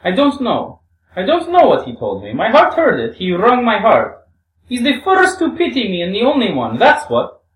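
A woman's voice: medium pitch, huge pitch swings, normal speed, voice pushed up. A woman playing a volatile, wounded young Russian woman in a storybook reading, with theatrical exclamations. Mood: anguished, pleading. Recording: roomy, distant microphone.

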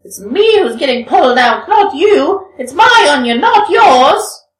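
A man's voice: extremely high pitch, very animated, a little slow, fairly bright tone, pitched above the performer's own voice, exaggerated voice. A man voicing a wicked, selfish woman from a fable who shrieks and grasps. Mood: furious. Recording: echoey room, far from mic.

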